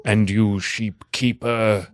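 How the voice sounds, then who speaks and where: A man's voice: on the low side, little pitch movement, slow, no echo, clean studio sound. A man improvising a comedy scene as a proud, theatrical warlord of goatherds, using pompous archaic phrasing. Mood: stately, proud.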